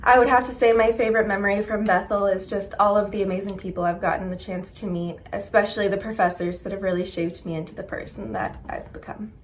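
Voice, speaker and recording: A young woman, a graduating student, introducing herself in a gentle, appreciative way. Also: mid-range voice, steady tone, quick pace, few pauses, slight background noise. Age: young adult. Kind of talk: recorded self-introduction.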